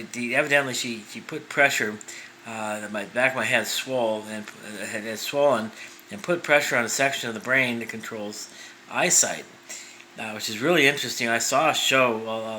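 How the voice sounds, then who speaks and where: Rambling bright-voiced man in his fifties. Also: mid-range voice, slight background noise, low-quality recording. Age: 50s. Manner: rambling, full of restarts and fillers. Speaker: vlogger. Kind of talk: personal storytelling monologue.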